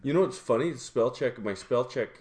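A man's voice: medium pitch, lively intonation, moderate pace, a little mellow. A man with an Upper Midwest accent, co-hosting a casual podcast chat around a table and rambling with many restarts. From Minnesota. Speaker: podcast co-host.

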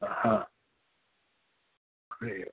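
A man whose first language is not English, a guest on the show who reads aloud slowly and carefully. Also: no echo. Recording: dry, tinny phone-like line.

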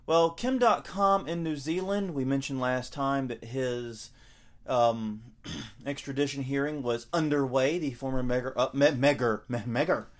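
A man co-hosting a casual podcast, talking things over with frequent fillers and self-corrections. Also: medium pitch. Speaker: podcast co-host.

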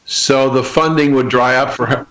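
Guy hosting an interview, average pitch, keeps restarting his questions. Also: steady tone, a little slow, a bit bright, rough recording. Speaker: interview host.

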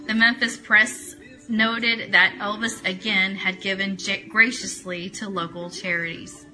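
A woman's voice: mid-range voice, bright, forceful tone, poor audio. A woman hosting an online fan radio show, reading a prepared account aloud and greeting viewers.

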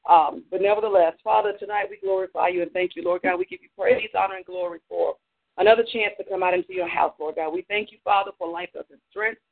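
Congested male teacher, pastor leading a church class, high voice on a tinny phone line, full of "um"s. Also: fairly even intonation, quick pace, creaky. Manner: loose, filler-heavy teaching. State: congested from allergies. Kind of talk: church class lecture with prayer. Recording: narrow phone-line sound on a talk stream.

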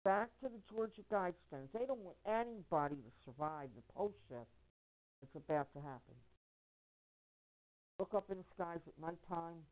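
A woman speaking as a caller on a phone conference, giving impassioned personal testimony in a halting, emphatic way, repeating phrases for weight. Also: lowish voice, lively intonation. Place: telephone conference call. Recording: narrow tinny phone-line audio.

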